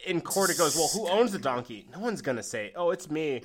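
A man's voice: fairly high pitch, lively intonation, fairly quick, crowd noise. A man co-hosting a comedy podcast, acting out little scenes in his jokes. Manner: joking, acts out little scenes. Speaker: podcast co-host. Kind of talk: casual comedy chat.

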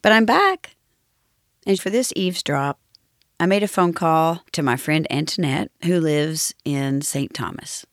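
A woman's voice: low voice, animated, unhurried, no room sound, clean studio sound. A woman hosting a podcast in a casual, chatty style. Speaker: podcast host. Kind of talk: casual chat.

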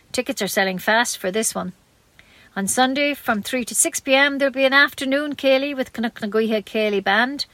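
A woman with an Irish accent reads community news from a script. Her voice sits fairly high for a woman. The sound is dry, with very little room in it. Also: a bit bright, dry recording. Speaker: community radio newsreader. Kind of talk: scripted local news reading.

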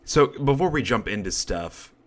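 A man hosting a podcast in casual chat, his voice raspy and congested, with a frequent need to cough, and he talks in a chatty, self-deprecating way. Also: medium pitch, lively intonation, normal speed. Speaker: podcast host.

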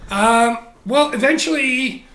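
A man being interviewed, talking casually and candidly about his career, animated and blunt with frequent restarts. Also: very high voice, slow pace, reverberant. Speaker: interview guest, photographer. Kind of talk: casual conversational storytelling.

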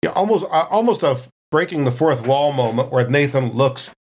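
A man's voice: medium pitch, lively intonation, normal speed, darkish tone. A man chatting casually as a tabletop game player, thinking aloud in a hesitant, wry, conversational way.

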